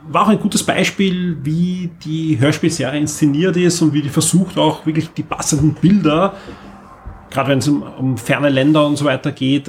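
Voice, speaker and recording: A man's voice: medium pitch, gravelly. A man with an Austrian accent hosting a casual podcast, rambling along with enthusiasm. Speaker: podcast host.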